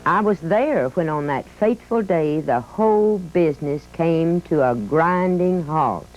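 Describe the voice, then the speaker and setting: Woman doing a chatty old army biplane, folksy american storyteller, lowish voice. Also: lively intonation, a little slow, a little mellow. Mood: reminiscing. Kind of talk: scripted museum narration. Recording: dull lo-fi audio with faint music.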